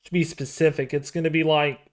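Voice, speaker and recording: A man in his twenties hosting a casual livestream, talking through an astronomy program with excitable exclamations as he thinks aloud. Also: on the high side, a little fast. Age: 20s. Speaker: livestream host.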